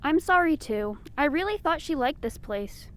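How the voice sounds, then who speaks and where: A woman's voice: high voice, lively intonation, normal speed. A woman playing a cheerfully morbid nursing home keeper, sweetly welcoming and unfazed, in a comic audio drama.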